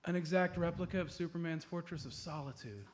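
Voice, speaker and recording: A man's voice: on the high side, brisk, close to an everyday voice. A man playing an eager, bungling upbeat amateur detective in a live comedy radio play. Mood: casual wonder. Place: live on stage at a comedy club. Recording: noisy live comedy stage recording.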